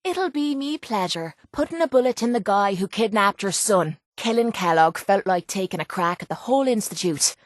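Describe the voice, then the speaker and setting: A woman's voice: medium pitch, animated, normal speed, hardly any pauses, no echo, studio quality, lightly acted. A woman playing a tough, cocky young brawler in a video game, with an Irish accent and a blunt, sardonic manner. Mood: brash, sardonic. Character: tough, brash, foul-mouthed young woman brawler and mercenary. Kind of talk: video game companion dialogue.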